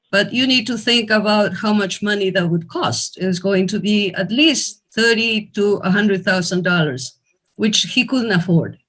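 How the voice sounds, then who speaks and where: A woman's voice: medium pitch, fairly bright tone, low-quality recording. A woman from Ecuador hosting an online fundraiser, telling a personal story in an earnest, heartfelt way over a video call. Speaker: event host.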